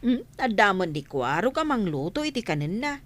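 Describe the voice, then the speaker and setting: A woman's voice: mid-range voice, big pitch swings, moderate pace, natural voice. A woman acting a teasing, gossipy village friend in a comedic audio drama.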